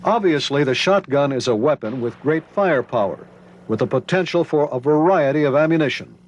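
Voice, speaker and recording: A man's voice: fairly high pitch, expressive, brisk, dull audio. A man reading scripted narration for a training film. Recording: noisy 1990s training film soundtrack.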